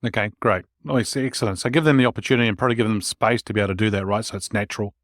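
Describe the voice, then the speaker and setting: A man's voice: lowish voice, racing, dry recording, studio quality. A man with an Australian accent hosting a leadership podcast, upbeat, often restating his guest's points. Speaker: podcast host. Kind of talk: interview host chat.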